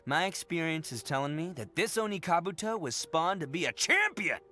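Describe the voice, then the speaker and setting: A man's voice: fairly high pitch, sing-song, brisk, bright, forceful tone. An American man voicing a boisterous, cocky young male oni in a video game, with brash, swaggering, slangy delivery. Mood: cocky, eager. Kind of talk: animated video game voice acting.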